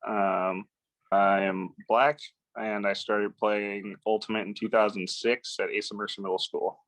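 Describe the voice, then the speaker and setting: Low-voiced man, plain. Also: little pitch movement, unhurried, fairly bright tone, rough recording. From Seattle, USA. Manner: plain, brief introduction. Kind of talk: documentary interview.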